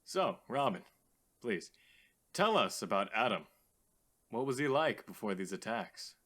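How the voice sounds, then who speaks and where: A man playing a theatrical, lively-voiced radio host. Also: on the low side, exaggerated voice. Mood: thoughtful. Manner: formal, genteel, drawn-out greetings. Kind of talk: radio drama acting as call-in host.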